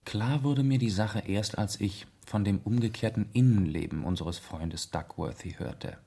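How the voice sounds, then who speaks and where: A shrewd gentleman detective in a radio play. His fairly low voice stays polite and measured, choosing words precisely while gently insinuating that he knows more. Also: vocal fry. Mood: explanatory. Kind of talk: German radio play acting.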